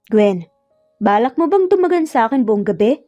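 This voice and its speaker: A woman voicing a teasing, self-assured male employer in a romance story, with an unhurried manner. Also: fairly high pitch, very animated, warm tone, dull audio, faint music behind.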